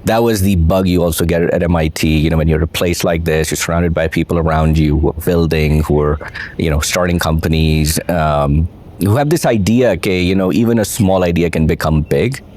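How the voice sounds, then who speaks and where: A middle-aged man from Pakistan with a Pakistani accent, a venture capitalist guest telling his story in a relaxed, reflective interview. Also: low-pitched, fairly quick. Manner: reflective, storytelling, mixes in Urdu phrases.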